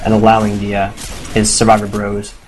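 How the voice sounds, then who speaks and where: A hoarse man doing casual live play-by-play commentary on a competitive video game match, excitable and quick to swear. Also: lowish voice, over music. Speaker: esports commentator.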